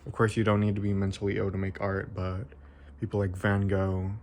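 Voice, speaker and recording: A young man with a fairly low voice talks through an informal video essay. His delivery is very flat, with little rise or fall. He phrases things haltingly and often corrects himself as he explains. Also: slight background noise. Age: young adult.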